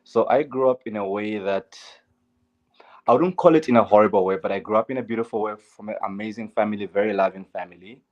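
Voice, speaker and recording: A man's voice: lowish voice, fast talker, darkish tone, lo-fi sound. Earnest Zambian man telling his story. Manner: earnest and candid, with frequent "um" and "at the end of the day". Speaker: guest, LGBTQ advocate. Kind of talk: interview, personal story.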